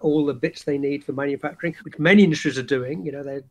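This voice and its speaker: A man co-hosting a podcast, explaining things at length in a relaxed, conversational way. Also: fairly high pitch, quick pace. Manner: explains at length, many "you know" fillers. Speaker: podcast co-host. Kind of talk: casual podcast chat.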